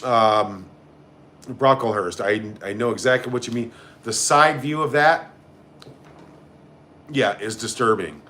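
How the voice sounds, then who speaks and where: A man's voice: mid-range voice, takes it slowly, long pauses, pushed and bright. A man hosting a casual live stream, reading out comments and reacting in a blunt, sarcastic, sweary way. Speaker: livestream host.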